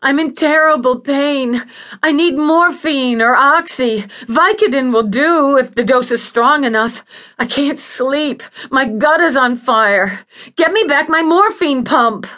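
A woman's voice: mid-range voice, very animated, a little slow, a bit bright, voice pushed up. A woman voicing an agitated, foul-mouthed female patient in an audiobook, bitter, demanding and in pain. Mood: desperate pleading. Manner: pleading, snarling, accusatory. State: in pain, desperate. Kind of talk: audiobook character acting.

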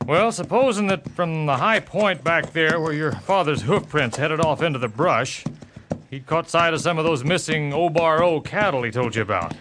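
An actor playing a steady, determined Texas Ranger with a terse, resolute manner in a Western audio drama. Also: male voice, fairly high pitch, moderate pace, noisy background, natural voice. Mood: measured, intent.

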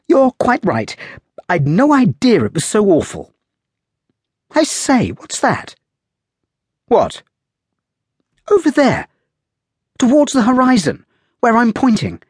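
Man reading as a spirited young English lady, playful and high, breathy tone, dry close sound. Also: wildly swooping pitch, takes it slowly, long pauses, dry recording, exaggerated voice. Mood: teasing. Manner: bright, playful, raised pitch. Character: young English woman passenger, lively and teasing.

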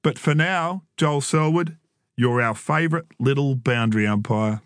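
A man's voice: medium pitch, moderate pace, no room sound, studio quality, lightly acted. A man voicing a teasing, smug older twin boy in an audiobook story, in a mock-serious, taunting manner. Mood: amused, condescending.

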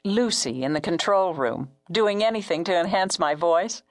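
A woman voicing a smooth, easygoing male radio talk show host in an audiobook story, relaxed and assured. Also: lowish voice, normal speed, no echo. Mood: easy, assured.

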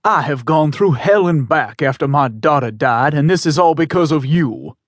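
A man's voice: a little high, expressive, moderate pace, dry recording, studio quality, voice pushed up. A man voicing a bitter, menacing grieving father. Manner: menacing, scornful. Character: bitter grieving middle-aged man, threatening.

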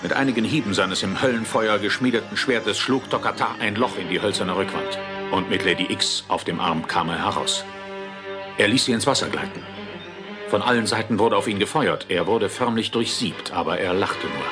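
A man's voice: fairly low pitch, moderate pace, close to an everyday voice. An actor playing a determined police inspector who narrates his monster hunt in a German audio thriller. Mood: grim narration. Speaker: narrator and lead character. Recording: loud music under the voice.

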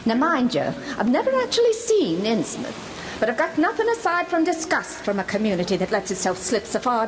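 A prim, cultured woman played by an actress speaks with very animated pitch in a middle register. She is precise, genteel and disapproving, in a radio drama recording with faint noise. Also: natural voice, low-quality recording. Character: prim, cultured woman disdainful of decaying town.

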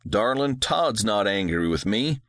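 A man reads a devout widowed rancher father, gentle and reassuring in every line. His voice rises and falls with lively variation, and the recording is close and dry. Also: lowish voice, a little slow, no room sound, heavily acted. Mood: patient, gentle. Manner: soft, patient, reassuring.

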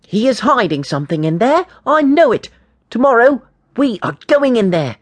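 A man's voice: high-pitched, lively intonation, moderate pace, dry recording, pitched above the performer's own voice. A man voicing a curious, stubborn eleven-year-old boy in a storybook, with an eager, insistent manner. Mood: determined.

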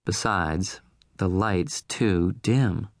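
A man's voice: deep voice, extremely slow, no echo, studio quality, exaggerated voice. A man voicing an imperious middle-aged newswoman with a Southern accent, speaking in a haughty, insistent way.

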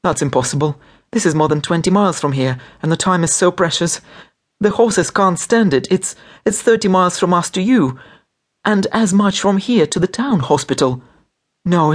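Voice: mid-range voice, lively intonation, normal speed, pitched above the performer's own voice, lightly acted. A reader voicing a distraught young wife, pleading in a tearful, urgent way, in a story. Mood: urgent despair.

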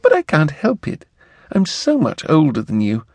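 A male reader voicing a playful, teasing young woman in an audiobook, with a coy, lilting falsetto. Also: wildly swooping pitch, moderate pace, darkish tone, no room sound. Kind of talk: audiobook character voice.

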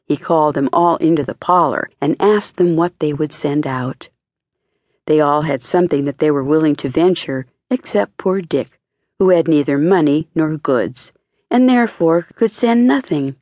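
A woman reading a children's fairy tale as an expressive audiobook narrator. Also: low voice, normal speed, dry recording. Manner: expressive storytelling.

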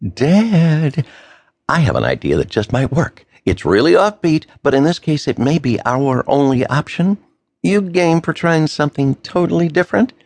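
A man's voice: a little high, expressive, moderate pace, a little mellow, no echo, voice pushed up. A man voicing an earnest, eager adult son. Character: eager adult son, quick-thinking and calm.

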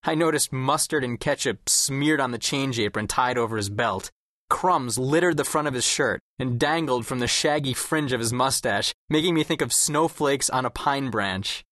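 A man's voice: mid-range voice, moderate pace, a bit bright, no echo, clean studio sound, lightly acted. An actor playing an observant, wry teenage boy who tells his own story as scripted audiobook narration. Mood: wry, descriptive. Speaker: first-person audiobook narrator.

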